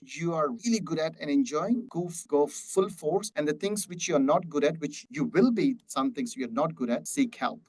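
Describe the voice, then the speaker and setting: Male podcast guest, 40s, Telugu Indian accent, slightly high voice, animated storyteller. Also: moderate pace, hardly any pauses, rough recording. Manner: animated, rhetorical questions, lively storytelling. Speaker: podcast guest, business owner. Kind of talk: casual interview talk.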